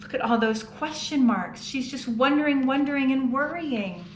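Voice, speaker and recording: A woman reads a picture book aloud for young children, stopping to ask them questions. Her voice sits fairly high and expressive. The sound is roomy with a faint hiss beneath it. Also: moderate pace. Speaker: children's storytime reader. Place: children's museum. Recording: roomy echo, faint background noise.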